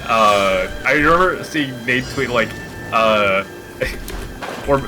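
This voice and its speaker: A man's voice: medium pitch, expressive, takes it slowly, music in the background. A man chatting casually over a game with slangy, joking talk. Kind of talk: casual gaming chat.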